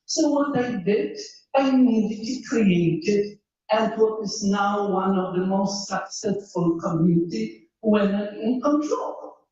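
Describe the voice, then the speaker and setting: An older woman with a Polish accent gives an earnest, unscripted talk as a professor, stressing her points and pausing to find her words. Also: mid-range voice, expressive, warm tone. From Poland. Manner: earnest, emphatic, searches for words. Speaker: professor speaking at a meetup. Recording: dull, echoey hall, mic far off.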